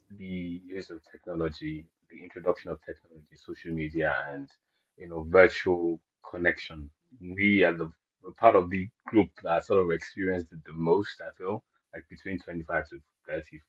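A man's voice: low-pitched, slightly rough. A man from Nigeria, hosting a podcast chat in a thoughtful, hedging way full of fillers. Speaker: podcast host. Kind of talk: casual chat. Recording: dull lo-fi audio.

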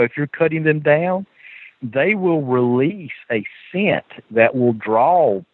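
A man's voice: on the high side, slightly rough, no echo. A Southern man chats casually as a podcast guest. He tells his stories animatedly and chuckles often. His voice comes through a thin, phone-like line with no room sound. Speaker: guest author. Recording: tinny phone-line audio.

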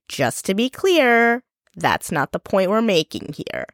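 A young adult woman hosts her podcast solo, sounding congested and a little stuffy. Her voice sits somewhat low, and she rants in a blunt, sweary way. The recording is close and dry, with no room sound. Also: a bit bright, no room sound. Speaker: podcast host.

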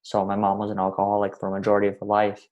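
A man's voice: fairly low pitch, completely flat delivery, rapid-fire, darkish tone, dull audio. A man in his twenties, originally from Russia, talking earnestly in long, winding sentences as a podcast guest. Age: 20s. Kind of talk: reflective interview talk.

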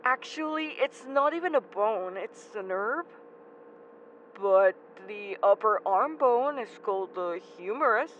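A woman playing a sensible, know-it-all young traveler in an audio drama, matter-of-fact and quick to correct. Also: medium pitch, expressive, a bit bright, muffled recording, slight background noise.

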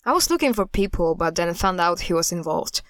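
A woman's voice: on the low side, expressive, a little fast, no echo, clean studio sound, natural voice. A woman playing a blunt, guarded cult hunter in an audio drama, grave and matter-of-fact.

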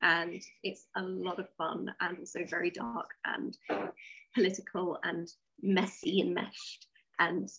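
A woman's voice: on the low side, flat delivery, unhurried, vocal fry, fairly bright tone, husky, muffled recording. A woman speaking as a writer being interviewed, in a casual, conversational way. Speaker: interview guest, science fiction writer.

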